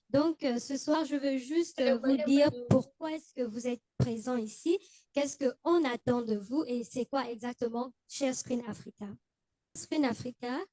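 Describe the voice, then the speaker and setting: A woman's voice: fairly high pitch, dark tone, no room sound. Hesitant, conversational woman coordinator. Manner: plain, conversational, speaks with some hesitation. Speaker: coordinator of the organization. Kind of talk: formal presentation speech.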